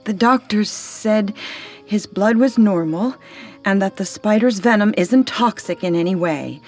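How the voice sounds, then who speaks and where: A concerned, homely older aunt in a radio drama. Her caring voice sits at an ordinary middle pitch, with faint music behind. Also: lively intonation, unhurried.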